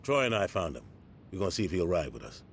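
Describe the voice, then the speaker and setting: An actor in a video game playing a commanding older street gang leader who talks in authoritative slang with a husky voice. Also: male voice, low-pitched, big pitch swings, fast, slight background noise, heavily acted. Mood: measured, confident. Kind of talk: video game cutscene acting.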